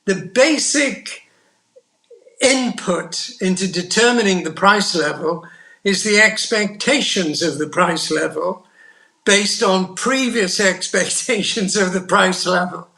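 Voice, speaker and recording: An older man from the United Kingdom speaking as a panel guest, measured and deliberate as he searches for words. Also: high-pitched, creaky, sharp tone. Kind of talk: panel discussion.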